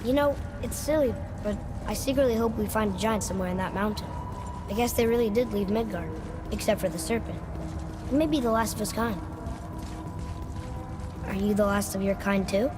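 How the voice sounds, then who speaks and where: A man's voice: high-pitched, normal speed, long pauses, noisy background, close to an everyday voice. A young male actor playing an eager, curious young boy in a video game, chatty and earnest. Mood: chatty, thoughtful. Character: eager, curious young boy travelling with his stern father. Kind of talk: video game cutscene acting. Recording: loud music behind the voice.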